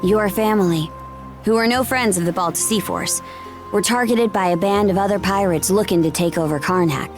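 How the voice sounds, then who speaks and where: Woman doing blunt bold warrior princess, mid pitch, game cutscene, faint music. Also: moderate pace, lightly acted. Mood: firm, explaining. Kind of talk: video game cutscene acting.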